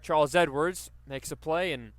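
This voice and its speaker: A man's voice: fairly high pitch, moderate pace. A man doing live radio play-by-play commentary of a baseball game.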